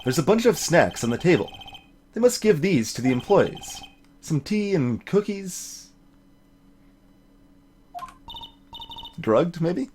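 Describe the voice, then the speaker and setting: A man's voice: a little high, very animated, a little slow, a little mellow, slight background noise. A man voicing an earnest young male defense lawyer from a video game, in a dry, skeptical manner. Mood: observant. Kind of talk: voiced visual novel dialogue.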